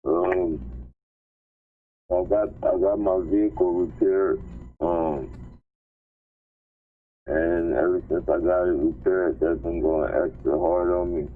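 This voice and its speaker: A man chatting casually as a call-in guest, low-key, hesitant and slangy. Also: steady tone, slow pace, long pauses. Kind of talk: casual chat. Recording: dull noisy call audio.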